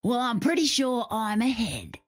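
An actress playing a cocky, teasing female game fighter with a husky, taunting delivery. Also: a little high, sing-song, unhurried.

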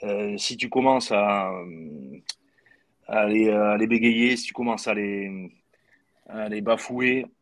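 A man's voice: on the low side, fairly even intonation, slow, long pauses, dry recording. A middle-aged man from southwest France talking on a video call, hesitant, with many fillers and repeated words. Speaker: guest, former footballer. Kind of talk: casual video-call discussion. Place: room at home. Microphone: video call webcam.

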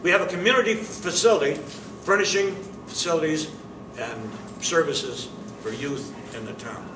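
An older man making an emphatic, indignant statement at a press conference, pausing deliberately between phrases, heard from across an indoor room. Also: on the high side. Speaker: managing member of the facility's owners. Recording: roomy, distant, noisy camera audio.

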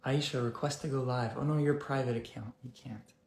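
Calm guy in his 30s coaching viewers live on his phone, slow and gentle, echoey room. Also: mid-range voice, slight background noise. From South Africa, raised in Canada. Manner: calm, gently guiding, slow deliberate phrasing. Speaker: wellness host. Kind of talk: live-stream coaching chat. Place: room indoors.

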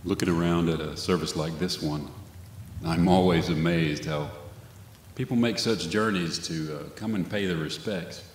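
A man playing a gentle, solemn memorial officiant in an audio drama, speaking in a measured, reflective way. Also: deep voice, lightly acted. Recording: echoey hall, loud rain noise.

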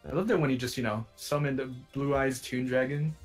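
A man's voice: medium pitch, steady tone, fairly quick, faint music behind. A man chatting casually while he plays a video game with friends. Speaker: player in an online game video. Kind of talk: casual gaming chat.

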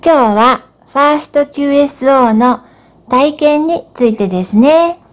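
A woman's voice: high voice, a little slow. Woman presenter in an echoey room. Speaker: host, MC. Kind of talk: scripted presenting. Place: small room at home, indoors. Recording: roomy echo, faint background hiss.

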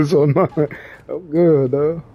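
Slangy cursing guy chatting, kinda high voice, muffled lo-fi sound. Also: steady tone, slow. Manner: slangy, profane, laughs often. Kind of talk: casual chat.

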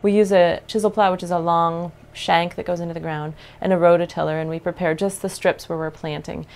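A woman's voice: lowish voice, flat delivery, fairly quick, a little mellow. A woman in her thirties, a farmer with an American accent, earnestly explaining her farm's methods in a documentary interview recorded indoors on a lapel mic. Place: living room with bookshelves, indoors.

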